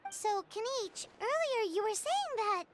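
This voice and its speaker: A woman voicing a tiny, excitable, childlike fairy companion in a video game, chirpy, chatty and squeaky. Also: moderate pace, a little hiss or hum. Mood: curious. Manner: chirpy, speaks of self in third person. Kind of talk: video game character acting.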